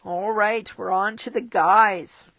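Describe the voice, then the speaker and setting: Slow-talking crafter woman losing her voice. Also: medium pitch, fairly even intonation, fairly bright tone, no room sound. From Canada. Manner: chatty, chuckling asides. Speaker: stamping demonstrator. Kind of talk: casual catalog walkthrough. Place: tabletop craft desk indoors.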